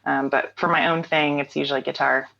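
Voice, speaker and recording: A woman in her late thirties talks through her creative habits in a deep, low voice. She muses aloud with many "um"s and "like"s and laughs easily. The sound is a bit dull and lo-fi, like a remote call. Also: steady tone, fairly quick, vocal fry, sharp tone. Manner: thoughtful, frequent "um" and "like", laughs easily. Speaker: guest, singer-songwriter. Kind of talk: reflective conversational interview.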